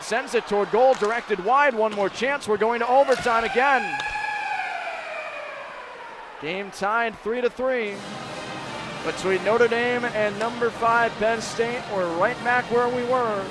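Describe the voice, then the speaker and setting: A man calling ice hockey play-by-play live on radio, excitable and building to shouted calls. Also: sits high. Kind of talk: live radio sports commentary. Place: broadcast position at hockey games. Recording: live arena broadcast, clearly noisy background.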